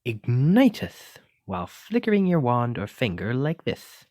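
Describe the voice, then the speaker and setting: A man acts out a clumsy but kindly wizard giving magic lessons in an ASMR roleplay. He speaks with genteel, old-fashioned flourish and keen encouragement. His mid-pitched voice leaps and swoops dramatically, and the sound is very dry. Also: wildly swooping pitch, a little slow, a little mellow, dry recording. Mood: genteel, instructive. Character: clumsy, kindly, excitable male wizard teacher. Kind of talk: ASMR roleplay addressed to the listener.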